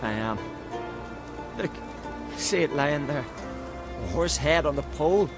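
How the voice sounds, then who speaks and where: A troubled peasant father in a fantasy video game. His voice sits on the high side, emotional and rustic, over clearly audible music. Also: expressive, a little slow. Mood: despairing. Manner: rustic, archaic wording, emotional. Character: anguished, honorable male villager, father of a sick child.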